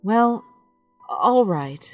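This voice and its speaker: A woman playing a sensible, skeptical friend in an audio drama, with a dry, measured manner. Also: medium pitch, animated, dry recording, faint music behind. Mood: concerned.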